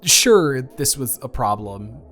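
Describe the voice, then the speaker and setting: A man's voice: medium pitch, animated, slow, fairly bright tone, soft background music. A man playing an awkward, affectionate young ex-boyfriend in an improvised intimate audio roleplay, talking in a hesitant, playful, earnest ramble. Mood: confused, earnest.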